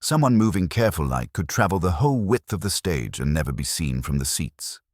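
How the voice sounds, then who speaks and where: A folksy, practical hall caretaker in a mystery audiobook, played by a male narrator. He is plain-spoken and satisfied with his work, very deep in pitch and dryly recorded. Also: very animated, a little fast, a little mellow, clean studio sound. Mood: practical satisfaction.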